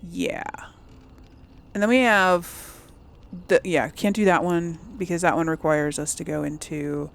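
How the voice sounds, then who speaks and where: A woman's voice: fairly low pitch, fairly even intonation, measured pace. A woman giving casual let's play commentary on a video game, chatting and thinking aloud as she goes. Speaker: video game streamer, host. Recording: faint background game noise.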